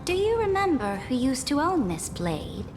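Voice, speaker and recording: A woman's voice: high voice, sing-song, moderate pace. A woman playing a proud, ruthless conqueror emperor in a video game, speaking in grand, commanding, theatrical declarations. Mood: cold, commanding. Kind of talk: dramatic video game voice acting. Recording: long reverberant hall. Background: clear music.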